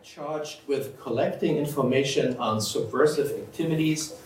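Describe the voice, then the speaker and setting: A man giving a measured, methodical academic lecture as a historian, now and then clearing his throat. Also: fairly high pitch, a little mellow. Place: lecture room. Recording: roomy, from far off, faint hiss.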